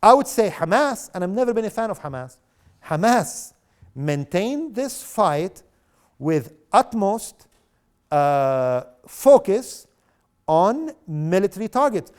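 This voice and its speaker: A man's voice: sits high, sing-song, takes it slowly, a little mellow, clean tone. A middle-aged man from South Lebanon giving a public lecture in an impassioned, sarcastic way, with rhetorical questions to the audience. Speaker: guest speaker.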